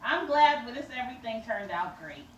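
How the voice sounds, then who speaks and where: A woman's voice: a little high, sing-song, fairly quick, dull audio. A woman performing a playful home puppet show in a giggly, improvised way. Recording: echoey room, distant mic, loud noise.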